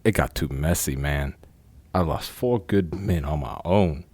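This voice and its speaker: A man playing a wary, gruff retired crook who is suspicious of an old partner, in an audio drama. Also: extremely low pitch, unhurried, a little mellow, no room sound, exaggerated voice. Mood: guarded. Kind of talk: amateur audio drama acting.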